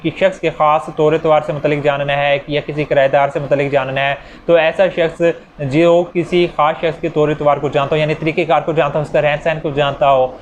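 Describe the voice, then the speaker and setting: Male law lecturer, Pakistani accent, slightly high pitch, explanatory with English legal terms, faintly noisy recording. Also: level tone, dull audio. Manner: switches into English legal terms, reading statutes slowly. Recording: clear background noise.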